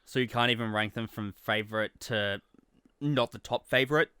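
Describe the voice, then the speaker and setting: Bright-voiced, chatty man hosting. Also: fairly low pitch, normal speed, clear, resonant tone, dry recording. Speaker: interview host and amateur voice actor. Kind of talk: casual online interview.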